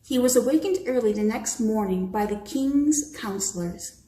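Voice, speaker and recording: A woman's voice: a little high, animated, normal speed, mellow. An American woman reading a bedtime story expressively to children, in a roomy, echoing home recording. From Michigan, United States. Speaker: storybook reader.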